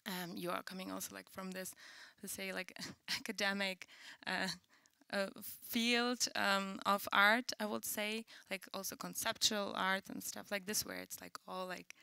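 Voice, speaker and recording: A woman moderating a panel talk, her voice smooth but with a gravelly edge. She picks her way through her questions with many fillers and restarts. The sound is dry and close. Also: mid-range voice, steady tone, measured pace, pushed and bright, dry recording. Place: event stage.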